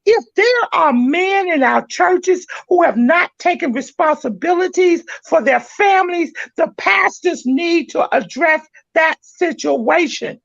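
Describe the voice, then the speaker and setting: A woman hosting a church-minded talk show, speaking with fervent, preacher-like passion that climbs to shouted exhortation. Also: very high voice, animated, a little slow, gravelly, few pauses, dry recording. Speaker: podcast host. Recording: dry, dull lo-fi sound.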